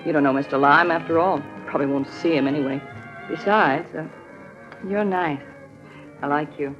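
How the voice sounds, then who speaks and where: A woman's voice: sits low, normal speed, over music, lightly acted. Coy young swindler woman, played by an actress. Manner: coy, confiding, feigned hesitancy. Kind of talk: old-time radio drama acting. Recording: muffled old radio recording.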